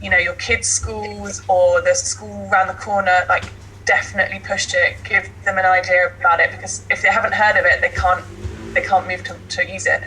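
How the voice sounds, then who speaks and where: Female teacher, podcast panellist. Bright, forward tone with little pitch movement, emphatic, faint noise behind. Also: little pitch movement, fairly quick, poor audio. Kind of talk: casual panel discussion.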